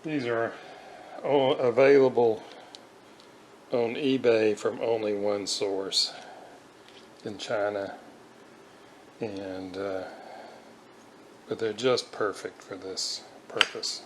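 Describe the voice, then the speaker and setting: An older man giving an unscripted hands-on workshop demonstration, talking haltingly and pausing mid-sentence. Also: on the low side, steady tone. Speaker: hobbyist restorer demonstrating a repair. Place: indoors at a home kitchen counter. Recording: noisy home video, room ambience.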